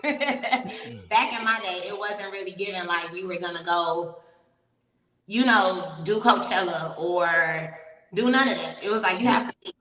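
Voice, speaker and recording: A woman's voice: fairly low pitch, measured pace, sharp tone. Young woman, from Miami, interview guest, frank chatty storyteller, echoey room, distant mic. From Miami, Florida. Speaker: guest, professional dancer. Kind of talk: casual interview chat.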